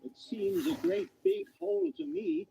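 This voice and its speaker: A fussy little hobbit, very soft and dark in tone with a creaky edge. He is prim and hesitant, heard in a radio play with slight background noise. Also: very high voice, measured pace, exaggerated voice. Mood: puzzled. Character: fussy, timid, respectable little male hobbit. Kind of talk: British radio drama acting.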